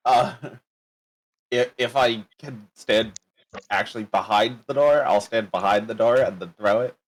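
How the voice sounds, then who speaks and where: A man's voice: fairly low pitch, measured pace. A man chatting casually as a player in a tabletop game.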